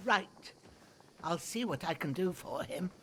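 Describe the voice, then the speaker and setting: An actress playing a village woman who tends a wounded man, slow and soothing. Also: on the low side, lively intonation, fairly bright tone, a little hiss or hum. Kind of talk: game cinematic acting.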